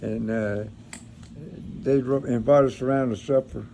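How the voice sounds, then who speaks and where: Gravelly older man reminiscing. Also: medium pitch, fairly even intonation, measured pace. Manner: folksy, plain-spoken, rambling. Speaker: grandfather recounting his military past. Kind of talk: informal reminiscing, storytelling. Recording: noisy room, clear background noise.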